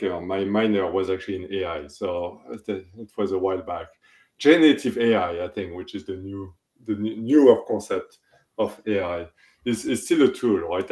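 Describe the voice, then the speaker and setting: A man's voice: lowish voice, darkish tone, echoey room. A middle-aged man from France with a French accent, speaking as an interview guest and thinking aloud with restarts and tag questions like "right?". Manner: thoughtful, many restarts and "right?" checks. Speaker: guest, tech executive. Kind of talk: podcast interview.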